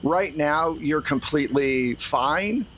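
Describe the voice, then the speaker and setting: A man's voice: fairly high pitch, expressive, slow pace, fairly bright tone. Man running a tabletop game, noisy recording. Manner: explanatory, checks in with players. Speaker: game master. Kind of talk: narrating and refereeing a tabletop game. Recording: clearly noisy background.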